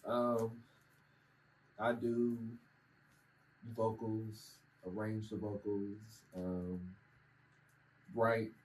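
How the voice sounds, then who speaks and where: A man's voice: on the low side, level tone, drawn-out words, long pauses, low-quality recording. A man from Kentucky answering casually as a musician guest in a group interview. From Kentucky, United States. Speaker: vocalist, keyboard player and interview guest. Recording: background room noise.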